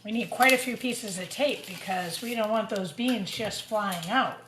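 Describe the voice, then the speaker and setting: A woman's voice: medium pitch, normal speed, a bit bright. A woman teacher giving an informal craft demonstration for young children, talking through each step as she works. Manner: talks through each step while working. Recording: roomy echo, clear background noise and clatter.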